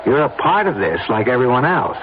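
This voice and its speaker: An American actor playing a cool, persuasive conspirator planning a murder in a vintage radio drama, insistent and smooth-talking. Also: male voice, mid-range voice, very animated, fairly quick, lightly acted. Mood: eager, persuasive. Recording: narrow, noisy vintage radio recording.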